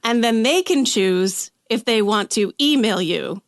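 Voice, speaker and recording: A woman's voice: on the high side, lively intonation, no echo. Chatty woman telling stories, dry sound. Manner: chatty storytelling, quick asides. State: slightly rough throat after illness. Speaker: guest, author. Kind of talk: casual podcast conversation.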